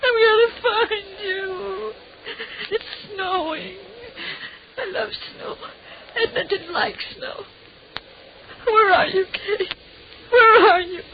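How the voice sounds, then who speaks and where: A woman's voice: very high-pitched, slow pace, pauses often, exaggerated voice. An actress playing a frightened, unhinged young woman in old-time radio horror, pleading in breathless repeated phrases. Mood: desperate searching. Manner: breathless repetitive pleading, melodramatic. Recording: noisy, muffled old radio recording.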